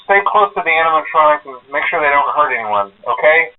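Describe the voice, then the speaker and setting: A man's voice: a little high, animated, fast talker, recorded from a distance, lightly acted. A man playing a hesitant phone caller who leaves rambling, reassuring messages full of "uh" fillers. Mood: uneasy. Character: nervous male night-guard phone caller. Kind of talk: recorded game voice lines. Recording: muffled echoey phone-message recording.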